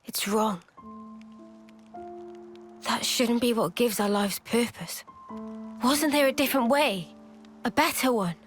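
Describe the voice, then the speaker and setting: A woman playing an earnest, sensitive young soldier in a fantasy game drama, speaking softly and hesitantly. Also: a little high, takes it slowly, pauses often, faint music behind. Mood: troubled. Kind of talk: dramatic game cutscene acting.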